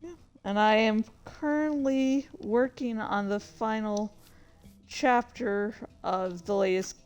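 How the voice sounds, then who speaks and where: A woman's voice: on the high side, takes it slowly, a little mellow, smooth, faint music behind. A woman streamer chatting casually, rambling along with distracted asides. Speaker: streamer and indie author. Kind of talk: casual livestream chat.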